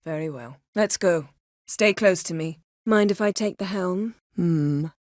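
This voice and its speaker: A woman playing a proud, sardonic, commanding sorceress in a video game, speaking in dry, measured, formal phrases. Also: on the low side, dry recording, lightly acted. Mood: dry, composed.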